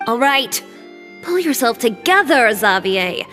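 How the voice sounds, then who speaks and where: An actress playing a brisk, confident young businesswoman in a video game, direct, blunt and no-nonsense. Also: fairly high pitch, big pitch swings, sharp tone, big-room sound, full character voice. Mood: impatient, commanding. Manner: direct, take-charge, no-nonsense. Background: game music.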